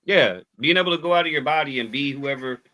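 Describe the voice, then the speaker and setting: A man's voice: mid-range voice, fast, pushed and bright. A man in his forties chatting casually on a podcast, opinionated and given to repeating his point. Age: 40s.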